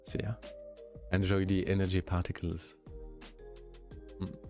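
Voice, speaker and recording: Deep-voiced man with a light French accent. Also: slow pace, music in the background. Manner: relaxed, hesitant, many fillers and restarts. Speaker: streamer. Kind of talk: casual chat answering viewers.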